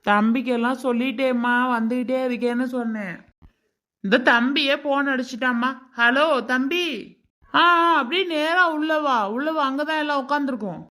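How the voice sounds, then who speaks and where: A woman's voice: high-pitched, normal speed, pushed and bright. A woman voicing a worried, dutiful grown eldest son in a family drama, anxious and reproachful in manner. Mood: reassuring. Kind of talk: amateur family drama dialogue.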